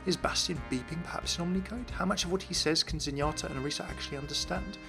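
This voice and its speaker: A man's voice: fairly high pitch, fast talker, gravelly, music in the background. A man giving an explanatory voice-over as the host of a game lore series.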